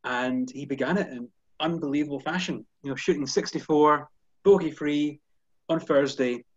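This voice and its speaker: A man's voice: fairly high pitch, moderate pace, no room sound. A man in his thirties with a Scottish accent, a golf journalist talking fluently on a video call, with lots of "you know" fillers. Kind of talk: casual video-call chat. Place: indoor room with curtains behind. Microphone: headphones on a video call. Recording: dull, dry video call audio.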